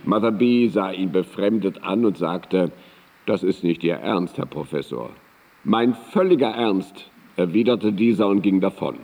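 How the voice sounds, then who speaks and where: An actor playing a droll period raconteur in a radio drama, telling anecdotes in a formal, expressive, literary manner. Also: male voice, low-pitched, measured pace, heavily acted. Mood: droll, storytelling. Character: male contemporary telling droll anecdotes about a philosopher. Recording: reverberant hall sound.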